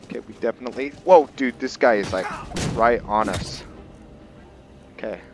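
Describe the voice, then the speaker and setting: A man giving casual roleplay gameplay commentary, thinking aloud in short, halting phrases as he plays. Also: on the low side. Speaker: gaming video host, player. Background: game music.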